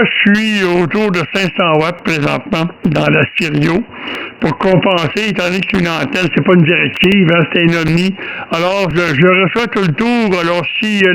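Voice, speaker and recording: A man's voice: sits high, a bit bright, smooth, low-quality recording. A man chatting casually and enthusiastically as an amateur radio operator, often repeating himself. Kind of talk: casual radio contact chat.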